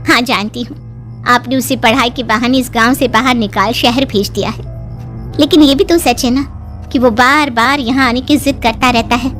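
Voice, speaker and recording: An anxious mother from a village horror cartoon, played by a woman, with a high voice. She frets, pleads and scolds over her teenage son. Soft music plays underneath. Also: fairly even intonation, moderate pace, lightly acted. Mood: worried. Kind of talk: Hindi cartoon voice acting.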